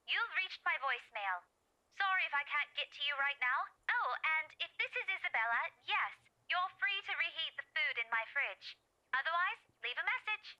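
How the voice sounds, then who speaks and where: An actress playing a brisk, friendly young woman through a telephone filter in a video game. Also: sits high, big pitch swings, bright, forceful tone, muffled recording, heavily acted.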